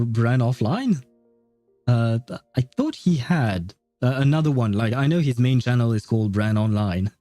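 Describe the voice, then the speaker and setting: A man with a soft, mellow tone chats casually to his stream viewers. His phrasing is halting and chuckly, and the close, dry sound sits over background music. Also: mid-range voice, dry recording. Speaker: game livestreamer.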